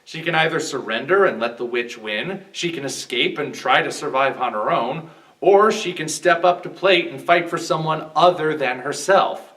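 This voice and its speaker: A man with a somewhat high voice delivers scripted film analysis as a guest reviewer. He sounds slightly distant from the microphone. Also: animated, moderate pace, a bit bright.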